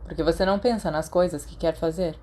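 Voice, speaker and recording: A woman as a thoughtful teenage wizard boy, mellow. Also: a little high, fairly even intonation, fast talker, slight background noise, lightly acted. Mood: sleepy, gentle. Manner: thoughtful, hesitant, gently grumbling. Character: teenage wizard schoolboy, cautious, sleepy, mildly grumbling friend.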